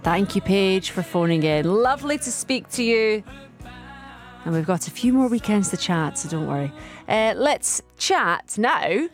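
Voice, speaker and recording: A woman presenting a late-night radio phone-in from the studio, bubbly and encouraging with callers, quick to tease and laugh. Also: medium pitch, big pitch swings, faint music behind. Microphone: studio microphone.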